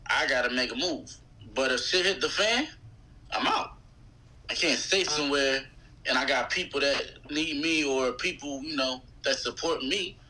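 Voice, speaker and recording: A man's voice: medium pitch, moderate pace, low-quality recording. A man from West Philadelphia with a Philadelphia accent, a rapper telling stories casually and slangily as an interview guest. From West Philadelphia, USA. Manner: colloquial, slangy, animated retelling with quoted dialogue. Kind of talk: casual chat, storytelling.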